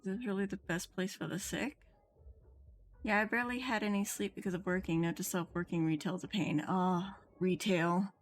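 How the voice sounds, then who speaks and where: A woman's voice: medium pitch, fairly even intonation, normal speed. A woman livestreaming a video game, chatting casually and reading game lines aloud. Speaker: game streamer.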